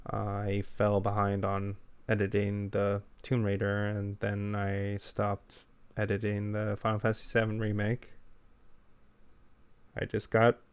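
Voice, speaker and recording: A young man with a lowish, smooth, clean voice chats casually on a podcast. He keeps things plain and low-key and is quick to play himself down. The sound is dry and close, with no room in it. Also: monotone, no echo. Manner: low-key, plain and unhurried, self-deprecating. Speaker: podcast co-host. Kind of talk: casual chat.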